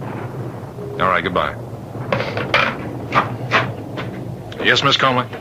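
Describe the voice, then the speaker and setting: Deep-voiced stern prison warden. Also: male voice, expressive, very noisy. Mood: stern, businesslike. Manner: halting, authoritative, incredulous. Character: stern male prison warden, skeptical, rattled. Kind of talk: radio drama acting.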